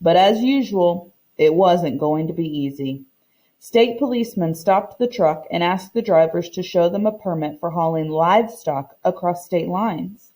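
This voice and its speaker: A woman reading a storybook aloud like a teacher, expressive and deliberate, explaining as she goes. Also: fairly low pitch. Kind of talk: expressive storybook read-aloud with comprehension questions.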